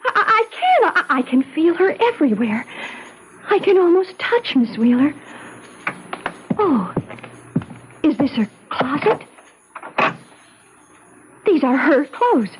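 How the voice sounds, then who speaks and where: A really high swoopy teen orphan girl, sly and pleading, old radio play with some hiss. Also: very animated, slow pace, long pauses, heavily acted. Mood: breathless, rapturous. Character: volatile teenage orphan girl, claiming psychic gift, pleading and sly. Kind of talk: 1970s radio drama acting. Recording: noisy vintage 1970s radio recording.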